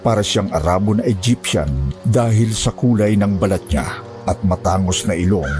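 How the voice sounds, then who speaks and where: A man's voice: deep voice, moderate pace, music in the background. A man reading scripted first-person narration as the narrator of a horror story.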